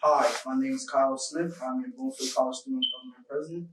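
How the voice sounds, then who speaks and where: A man's voice: medium pitch, steady tone, fast, a bit bright. Young man hosting, echoey and noisy. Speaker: student government president, co-host. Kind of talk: hosting a panel. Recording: echoey room, noisy, mic at a distance.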